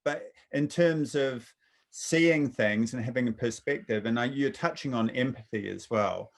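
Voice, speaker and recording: A man's voice: medium pitch, measured pace, gravelly. Reflective man in casual talk. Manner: rambling, reflective. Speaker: interviewer. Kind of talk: casual conversation. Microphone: video call.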